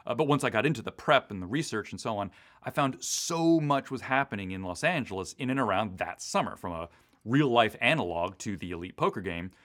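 Male writer in interview, East Coast US origin. Very animated intonation, casual, enthusiastic, prone to detailed tangents. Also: mid-range voice. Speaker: audio drama writer being interviewed. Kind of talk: casual, detailed behind-the-scenes chat.

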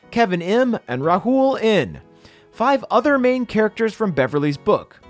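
Music plays under a man with a high voice and extremely animated delivery. He reads comedic scripted thank-you lists like a podcast host. His asides are theatrical and mock-earnest. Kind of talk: comedic scripted shout-outs with joking asides.

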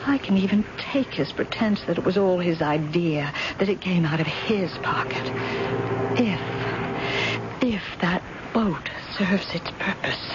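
A woman's voice: fairly low pitch, unhurried, close to an everyday voice. An actress playing a bitter, scheming wife who loathes her husband, in an intense, theatrical 1970s radio drama style. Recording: dull, hissy old radio broadcast.

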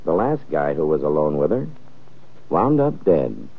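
An actor playing a hard-boiled, sardonic police lieutenant in an old-time radio crime drama. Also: male voice, a bass voice, sing-song, a little slow, creaky, loud music behind the voice, full character voice. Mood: dry, wry. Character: wisecracking, world-weary police lieutenant, a man. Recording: muffled, narrow-band old radio recording.

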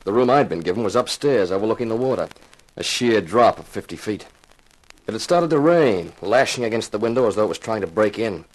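Dry, laconic radio detective. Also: male voice, lowish voice, expressive, a little fast, rough recording. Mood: atmospheric narrating. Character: wry, unflappable male investigator narrating his case. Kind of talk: 1950s radio mystery acting. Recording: vintage radio recording with background noise.

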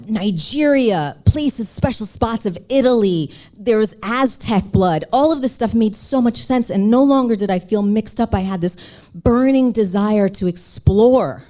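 Woman speaker, mellow lower voice, animated and earnest, personal stage talk. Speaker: speaker at a live storytelling event.

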